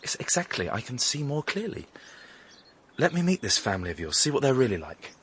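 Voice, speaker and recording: An actor playing an earnest, devoted young suitor in a radio drama, sincere and a little hesitant. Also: male voice, mid-range voice, sing-song, brisk, fairly bright tone, a little hiss or hum. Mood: earnest, reassuring.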